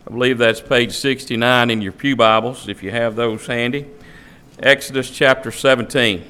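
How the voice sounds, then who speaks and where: A man reads a long passage of scripture aloud to a congregation. His delivery is steady and measured, and his pitch sits in the middle range. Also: smooth. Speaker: scripture reader. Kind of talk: reading scripture aloud in church. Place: church.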